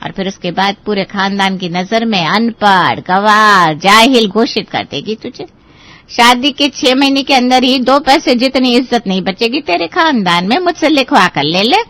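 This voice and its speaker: A woman's voice: on the high side, lively intonation, normal speed, a bit bright, dry recording. A woman playing a cynical, gossipy older aunt in an audio story, worldly-wise and emphatic. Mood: ominous warning. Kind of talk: Hindi audio story acting.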